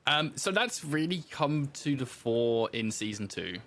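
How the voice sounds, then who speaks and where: A man's voice: medium pitch, sing-song, a little slow, fairly bright tone. A man telling his story in casual interview chat, informal and self-deprecating, as a podcast guest who designs games.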